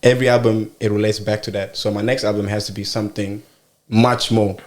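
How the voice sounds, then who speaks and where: Young guy musician in an interview, lowish voice, lots of ums, thinks out loud. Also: flat delivery. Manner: thoughtful, pausing with fillers. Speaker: musician guest. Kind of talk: casual interview talk.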